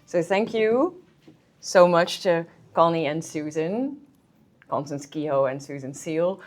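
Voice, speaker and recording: A woman's voice: low-pitched, slow, a little hiss or hum. A woman with a Dutch accent giving a friendly, chatty history talk to a library audience as a guest historian. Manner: friendly, chatty, with filler ums and restarts. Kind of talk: public history talk with slides. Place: public library meeting room.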